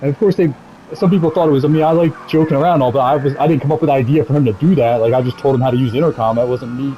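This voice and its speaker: Man, quick rambling storyteller, casual and sweary, amused, soft mellow tone, faint game noise behind. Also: medium pitch, steady tone, muffled recording. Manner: rambling, profane; amused, laughs while telling stories. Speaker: gameplay video creator telling stories. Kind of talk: casual storytelling over gameplay.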